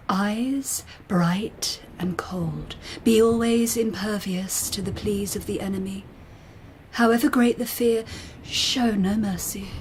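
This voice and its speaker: Woman acting a regal ghost empress, cold and cruel, slow whispery radio drama delivery, some noise behind. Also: medium pitch, natural voice. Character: ghostly Japanese empress, imperious, coldly relishing bloodshed. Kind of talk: hushed audio drama acting.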